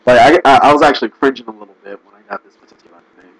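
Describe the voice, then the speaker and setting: A man giving casual, rambling commentary, restarting sentences and laughing often, his voice going hoarse. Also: mid-range voice, very fast, fairly bright tone, no room sound, low-quality recording. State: losing his voice. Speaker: video commentator.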